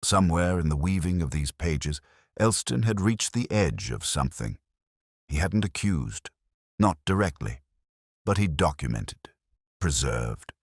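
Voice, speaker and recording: Super deep guy reading a detective story, brooding and deliberate, lots of movement in the pitch. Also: slightly rough, no room sound, clean studio sound. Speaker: narrator, speaking as a detective. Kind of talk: scripted first-person literary mystery narration.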